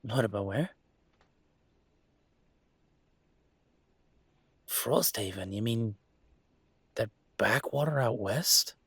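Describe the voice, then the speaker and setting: A man's voice: mid-range voice, vocal fry, a bit bright, husky, no echo, clean studio sound, full character voice. A man playing a restless young werewolf pack heir in an intimate audio roleplay, rambling conversationally with wry, wistful humor. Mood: doubtful, sheepish.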